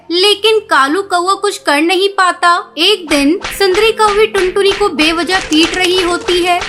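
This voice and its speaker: A woman narrating a cartoon story in a steady, clear storytelling manner. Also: very high voice.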